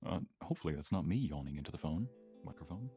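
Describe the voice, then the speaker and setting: Low-voiced man in casual chat. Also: lively intonation, fast talker, dark tone, faint music behind. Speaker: streamer, guest.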